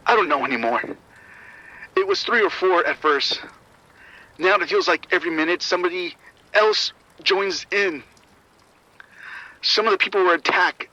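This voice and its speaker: An actor playing a shaken security officer giving urgent, grim reports over radio in a horror audio drama. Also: male voice, sits high, moderate pace, long pauses, bright, forceful tone. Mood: overwhelmed. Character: shaken male arena security officer reporting a violent outbreak. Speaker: security supervisor. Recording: muffled, band-limited radio transmission.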